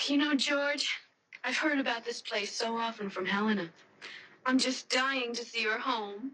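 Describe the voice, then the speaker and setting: An actress playing an eager, affectionate, impulsive young fiancée in a 1970s style radio drama, breezy and coaxing. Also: female voice, a little high, unhurried, sharp tone, low-quality recording. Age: young adult.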